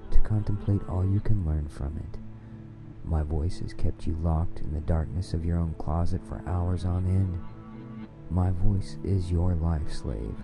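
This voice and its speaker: Very deep, gravelly, commanding man over music. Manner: commanding, domineering, deliberate repetition. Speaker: dominant hypnosis narrator. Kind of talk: scripted erotic domination hypnosis monologue.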